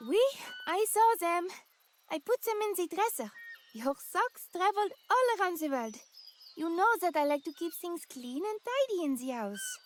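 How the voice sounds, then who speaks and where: A very high, swooping voice for a young French girlfriend played by a woman. She is playful and fond, exclaiming with French endearments in video game drama style, over some background noise. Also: sing-song, normal speed. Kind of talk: dramatic game cutscene acting.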